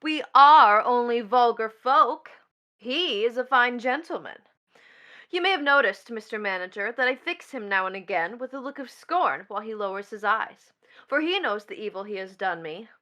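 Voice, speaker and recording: A woman's voice: fairly high pitch, expressive, normal speed, sharp tone. A woman playing a scornful, impudent young stepdaughter in a stage play, speaking with biting, declamatory drama. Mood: scornful, accusing. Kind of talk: theatrical stage-play reading.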